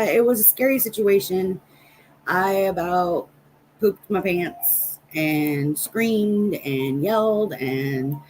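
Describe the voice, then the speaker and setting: Slow-paced American woman with low-key storytelling. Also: a little hiss or hum, rough recording. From Nebraska, United States. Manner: rambling, anecdotal, chatting with viewers. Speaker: livestream host. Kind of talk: casual storytelling chat on a livestream.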